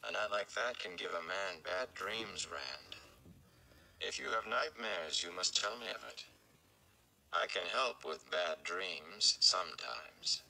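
A man voicing a calm, tired, commanding sorceress in a storybook reading, measured and in control. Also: sits low, creaky, full character voice, poor audio. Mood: probing calm.

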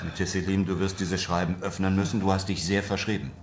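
A male actor as a shrewd, unflappable adventurer in a radio drama. His low voice is calm, deliberate and insistent, heard in an echoey room with some noise. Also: lightly acted. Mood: calm, sly. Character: cool, shrewd European adventurer driving a hard bargain. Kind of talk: radio play dialogue.

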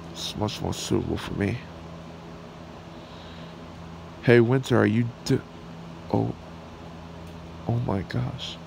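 Slow, gravelly, smitten dragon boyfriend played by a man. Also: mid-range voice. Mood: casual, then flustered. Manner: halting, flustered, tender. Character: smitten, shy male red dragon boyfriend. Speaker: ASMR roleplay performer. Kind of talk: ASMR boyfriend roleplay. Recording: steady background hiss or room hum.

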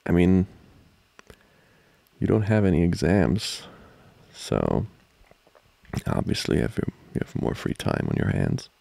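A man playing an amorous, giggly student boyfriend in an intimate ASMR roleplay, talking haltingly and teasingly close to the mic. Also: sits low, huge pitch swings, vocal fry, no room sound, exaggerated voice. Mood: teasing, flustered. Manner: halting, soft-spoken, chuckling, teasing. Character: amorous, giggly young male student boyfriend trying to study. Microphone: close microphone, dry.

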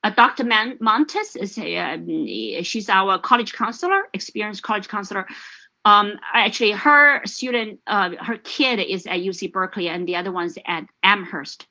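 A woman's voice: mid-range voice, expressive, moderate pace, bright, forceful tone, rough recording. A middle-aged woman from China whose first language is Chinese, hosting a casual chat over a video call from home, talking with many fillers and restarts. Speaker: host. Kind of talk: casual live-stream chat. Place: home room.

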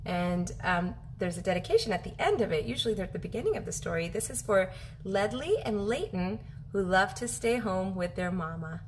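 A woman in her thirties reading a children's picture book aloud as a teacher, animated and expressive, drawing out her phrases for young listeners. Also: medium pitch, fairly quick, slight background noise. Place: home kitchen, indoors.

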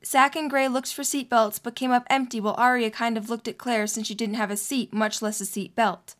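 A woman's voice: fairly high pitch, steady tone, a little fast. A woman reading scripted story narration as the narrator of an audio drama.

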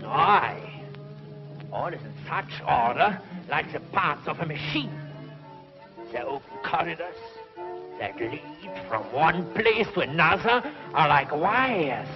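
A power-mad ruler of an underground world, high-voiced and grandly melodramatic. He draws out his commands slowly and hungers to conquer. Music swells behind him on a muffled old TV soundtrack. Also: expressive, exaggerated voice. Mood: wondering. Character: power-mad subterranean despot craving to conquer the surface. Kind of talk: 1950s television serial villain acting.

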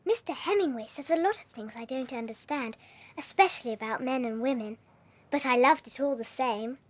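An actress playing a bright, bookish little British schoolgirl in a dramatised audiobook, precise and well-mannered. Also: high voice, brisk, no echo. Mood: polite, earnest.

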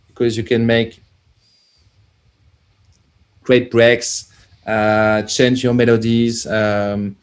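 A man, a plug-in developer guest, answering casually with many pauses as he searches for words over a video call. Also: mid-range voice. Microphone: headset on video call.

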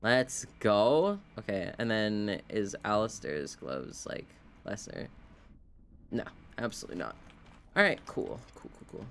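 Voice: medium pitch, big pitch swings, measured pace, a bit bright, a little hiss or hum. A video game streamer giving casual live commentary, rambling and wryly talking to themself and the game. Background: video game sounds.

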